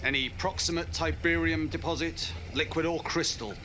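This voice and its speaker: An actor playing an earnest research scientist in a game cutscene, explaining technical findings precisely and emphatically. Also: male voice, fairly high pitch, normal speed, noisy background, close to an everyday voice. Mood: earnest, grave.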